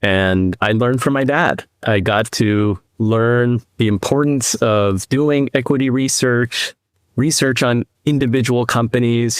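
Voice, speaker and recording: A man in his fifties from the US East Coast, a podcast guest and financial advisor, telling his story in a measured, reflective way. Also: lowish voice, clear, resonant tone, no echo, studio quality. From New Jersey, US East Coast. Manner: measured, reflective, speaks in long explanatory runs. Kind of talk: conversational interview storytelling.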